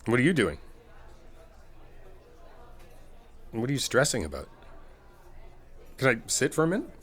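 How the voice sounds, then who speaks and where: A man's voice: mid-range voice, wildly swooping pitch, brisk, slightly rough. A man playing a supportive, easygoing best friend in a casual ASMR comfort roleplay, talking conversationally and reassuringly as if to one listener. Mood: friendly, concerned. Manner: conversational, reassuring, hesitant rephrasing. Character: supportive, easygoing male best friend giving a pep talk. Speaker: audio roleplay performer.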